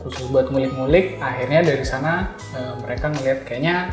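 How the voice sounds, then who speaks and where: A man's voice: medium pitch, fairly quick. A young man explaining things in a casual chat as an interview guest. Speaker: interview guest, backend developer. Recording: roomy echo, music behind voice. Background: background music.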